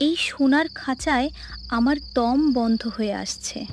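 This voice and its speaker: A woman's voice: high-pitched, a little slow, slight background noise. A woman playing a lonely, homesick young daughter in a cartoon story, plaintive and wistful as she speaks to herself. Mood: homesick, sad. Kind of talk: animated story monologue. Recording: music behind the voice.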